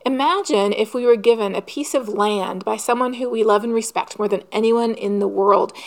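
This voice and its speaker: A woman preaching a sermon in an earnest, measured way, posing rhetorical questions. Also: mid-range voice.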